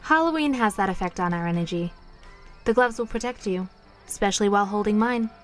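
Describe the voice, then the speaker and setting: An actress playing an experienced witch in an audio drama, reassuring and gently knowing. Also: mid-range voice, a little fast, faint music behind, lightly acted. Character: calm, protective, experienced woman witch.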